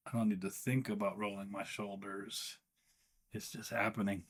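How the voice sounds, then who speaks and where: A man with a fairly low voice guides a meditation. His delivery is gentle and unhurried. He often pauses partway through a sentence before carrying on. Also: flat delivery, slight background noise.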